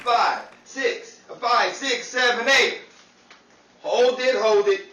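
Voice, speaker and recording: Man as radio drama backstage caller, brisk, loud, extremely high pitch, distant, noisy recording. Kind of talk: old-time radio drama acting. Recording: noisy, echoey, distant, crowd behind.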